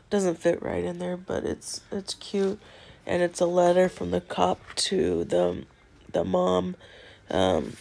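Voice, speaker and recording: A woman in her thirties talks to her phone camera from bed, her voice hoarse and stuffed up from a cold. It sits low and gravelly, with a rough crackle at the ends of phrases. She rambles in a low-key way, filling her pauses with ums. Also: a little mellow. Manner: congested, low-key, rambling with many fillers. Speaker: book unboxing vlogger. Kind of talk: casual chat to camera. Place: bedroom, lying on a bed indoors. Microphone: phone held close at arm's length.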